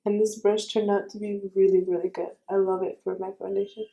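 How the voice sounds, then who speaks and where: A young woman with a raspy voice giving a chatty makeup tutorial, correcting herself and offering friendly advice. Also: mid-range voice, flat delivery, brisk, mellow, highs cut off. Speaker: beauty vlogger.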